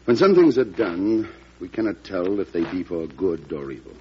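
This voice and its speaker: Solemn, formal defense lawyer, muffled. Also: male voice, low voice, very animated. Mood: earnest, solemn. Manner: formal, measured, oratorical. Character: solemn, loyal male defense lawyer to the president. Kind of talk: old-time radio drama acting. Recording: muffled, noisy vintage radio recording.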